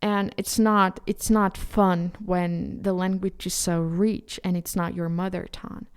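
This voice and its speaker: A Ukrainian woman, a native Ukrainian speaker, hosting a solo podcast in English with an earnest, halting delivery full of restarts. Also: lowish voice, little pitch movement, clean tone. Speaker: podcast host.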